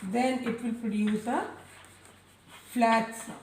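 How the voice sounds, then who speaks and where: A woman teaching a science lesson to children, speaking loudly and deliberately and repeating key terms. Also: fairly high pitch, steady tone. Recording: echoey room, voice a bit far from mic, faint noise.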